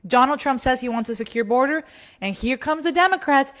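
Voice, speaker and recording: A woman's voice: high voice, expressive, brisk, fairly bright tone. A woman ranting to camera as a political commentator, mocking and sarcastic.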